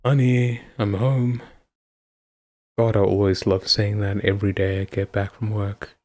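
A man playing a devoted, affectionate husband in an intimate audio roleplay, with a husky voice. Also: lowish voice, level tone, measured pace, a little mellow, lightly acted. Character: devoted, affectionate husband, playful then anxiously caring. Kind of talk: intimate ASMR boyfriend-style roleplay acting.

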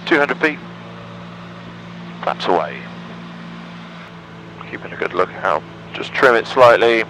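A man's voice: fairly low pitch, animated, slow, sharp tone, low-quality recording. A man in his thirties with an English accent gives in-flight commentary in clipped procedural phrases with upbeat asides, through a headset in a small aircraft cockpit. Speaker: pilot and vlogger. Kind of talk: in-flight commentary and radio calls. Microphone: aviation headset. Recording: engine noise over aviation headset audio. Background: aircraft engine drone.

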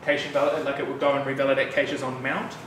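A man in his thirties with a New Zealand accent, giving a casual, chatty developer talk at a meetup, relaxed with frequent fillers and asides. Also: medium pitch, quick pace. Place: bright loft-like office room with an audience. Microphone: room mic, speaker standing away from it. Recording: distant, echoey room with background noise.

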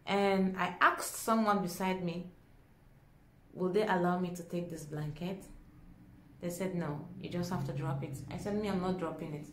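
A young woman with a Nigerian accent tells a story to camera in a dramatic, expressive way, acting out dialogue as she goes. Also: on the low side, normal speed. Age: young adult. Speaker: vlogger. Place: small indoor room at home. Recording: echoey small room.

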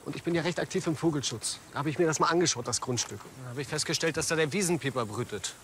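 A man plays an earnest, defensive nature activist. He talks quickly in a fairly high voice, in TV crime comedy style. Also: fairly bright tone, slight background noise, close to an everyday voice. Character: earnest, defensive male bird conservationist.

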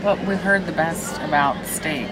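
A woman's voice: low voice, measured pace, over a noisy crowd. An American woman in her thirties chatting casually as a vlog co-host, picked up by a camera across the table. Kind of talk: casual chat. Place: balcony and restaurant tables. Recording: noisy camera audio with ambient din.

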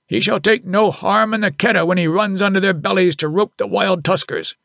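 A man's voice: high-pitched, steady tone, brisk, creaky, a bit bright, dry recording, clean studio sound, pitched above the performer's own voice. A man voicing a proud veteran head elephant driver, declaiming exultantly in an audiobook story. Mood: proclaiming.

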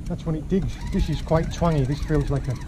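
A man's voice: a little high, brisk. Young man fishing from a windy boat. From England. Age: young adult. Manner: chatty, excitable, explains as he works. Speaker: fishing vlogger. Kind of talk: casual commentary while fishing. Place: small open boat at sea. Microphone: action camera mounted on the boat. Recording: noisy outdoor camera audio at sea.

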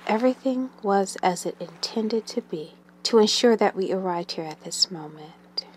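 A woman leading a guided meditation in a gentle, soothing manner, with long pauses and breathy phrase endings that trail away. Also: medium pitch, lively intonation, a little hiss or hum.

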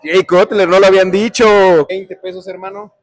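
A man's voice: high-pitched, flat delivery, normal speed, no echo. A man in his thirties with a Mexican accent, hosting a playful, teasing quiz with people in an indoor gym. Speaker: host.

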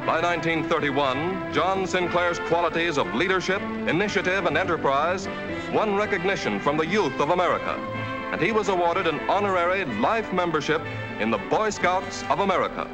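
A man narrating a 1940s newsreel in a brisk, declamatory announcer style on an old film soundtrack. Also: on the high side, lively intonation, poor audio. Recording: old film soundtrack under loud music.

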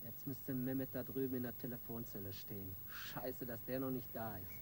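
An actor playing a cool, bossy robber in a radio crime drama, giving businesslike, colloquial instructions. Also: male voice, mid-range voice, steady tone, a little fast, mellow, a little hiss or hum, natural voice. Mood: cool, commanding. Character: cool, bossy male robber planning a heist.

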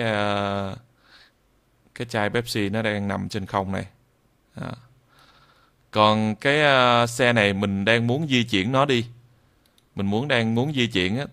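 A man with a southern Vietnamese accent walks viewers through software step by step. His voice sits low, with a gravelly creak in it. He speaks off the cuff, halting and repeating himself as he demonstrates. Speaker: tutorial instructor. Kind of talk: screen-recorded software tutorial, improvised.

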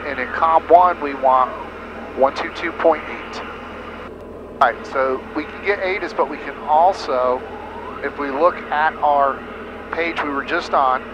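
Higher-voiced American male flight instructor, cockpit noise. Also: lo-fi sound. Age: middle-aged. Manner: explanatory, step-by-step. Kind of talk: hands-on instruction. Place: small airplane cockpit in flight. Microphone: aviation headset. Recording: headset audio with engine noise.

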